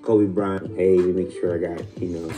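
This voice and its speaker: An American man rambling casually in a hesitant, low-key, sleepy way, like a first-time podcaster. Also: flat delivery, moderate pace, mellow. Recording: music beat under the voice. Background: looping music beat.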